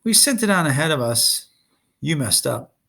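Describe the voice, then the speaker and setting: A man's voice: fairly high pitch, very animated, normal speed, vocal fry, pushed and bright, exaggerated voice. A man reading a storybook in the part of a bold young boy hero, blunt and challenging. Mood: defiant.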